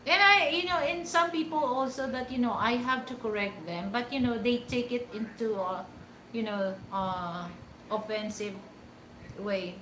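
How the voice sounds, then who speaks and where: Filipino lady vlogging, slow and rambly, kinda high voice, echoey room. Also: bright, forceful tone, slight background noise. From Philippines. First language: Hiligaynon. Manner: rambling, many restarts and fillers. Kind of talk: casual talk to camera.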